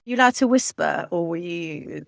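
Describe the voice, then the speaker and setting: A woman hosting a podcast, with a low voice, who muses aloud and asks her guest questions in an easy, reflective way. The sound is close and dry. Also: expressive, moderate pace, creaky, no echo. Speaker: podcast host. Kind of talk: podcast interview.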